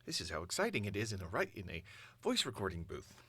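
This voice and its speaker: Casual man with animated, lowish voice. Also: fast talker, slightly rough, no room sound. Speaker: amateur voice actor, vlogger. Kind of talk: casual chat to camera, thinking aloud. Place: small voice recording booth.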